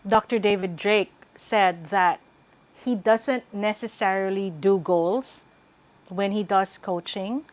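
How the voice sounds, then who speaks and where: A woman with a Filipino accent, a wellbeing coach giving advice in a radio interview, unhurried and reflective, switching between English and Tagalog. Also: mid-range voice, a little mellow. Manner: unhurried, mixes English with Tagalog, thoughtful pauses.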